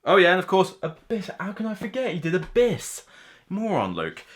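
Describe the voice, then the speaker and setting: A man's voice: sits high, huge pitch swings, moderate pace. A man in his thirties talking casually and unscripted to camera as a board game reviewer, rambling and chatty with a self-deprecating streak. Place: small room lined with board game shelves, indoors.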